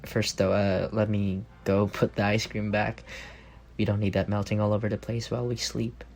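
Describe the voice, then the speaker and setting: Male actor as tender, playful roommate secretly in love, intimate ASMR roleplay; low register with plenty of fry. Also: little pitch movement, normal speed. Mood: soft, caring. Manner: playful, gently teasing, tender. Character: affectionate, teasing young roommate quietly in love.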